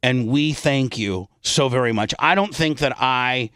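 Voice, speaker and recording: A man's voice: medium pitch, measured pace, fairly bright tone, smooth, no room sound, studio quality. A man hosting a radio show with unscripted talk, blunt and salty in the way he speaks.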